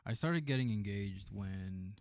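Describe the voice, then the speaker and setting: A man co-hosting a podcast, giving relaxed, opinionated takes with many "like"s and "uh"s. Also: fairly low pitch, unhurried, dry recording, studio quality. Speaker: podcast co-host. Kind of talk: casual chat, movie review.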